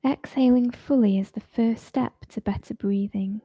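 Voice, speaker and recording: A woman teaching yoga nidra, leading a guided relaxation in a soft, soothing, informal way. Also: fairly high pitch, normal speed, husky, no room sound.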